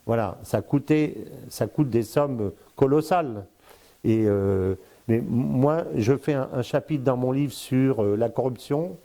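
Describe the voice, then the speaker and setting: Hesitant older man, mellow voice. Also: mid-range voice, slow pace. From born in Congo, lived in Africa, Spain, Brazil. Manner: hesitant, many fillers and repeated words; explains at length, addresses the listener directly. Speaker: strategic affairs commentator, guest. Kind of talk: casual spoken commentary.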